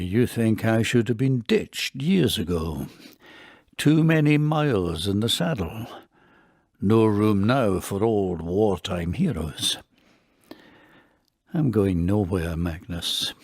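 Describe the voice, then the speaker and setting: An actor playing an ageing, dogged British spymaster in a radio drama, with a dry, deliberate, sardonic delivery. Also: male voice, on the low side, big pitch swings, a little mellow, breathy edge, full character voice. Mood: brooding, determined. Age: older.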